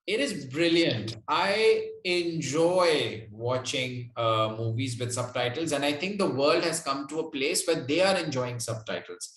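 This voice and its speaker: A man's voice: on the high side, a little slow, hardly any pauses, fairly bright tone. A man answering interview questions as a guest, explaining conversationally and often checking in with the listener. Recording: roomy, echoey sound.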